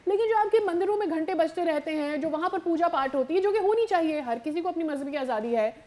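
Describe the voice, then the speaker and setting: A Pakistani woman co-hosts a current affairs show in a high, mellow voice. She talks very quickly, commenting as a television presenter. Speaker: talk show co-host.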